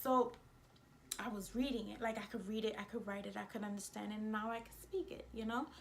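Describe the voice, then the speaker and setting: A woman with a New York accent talking casually to camera as a vlogger, in an emphatic, indignant and expressive way. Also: medium pitch, a little fast, creaky, slight background noise. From United States.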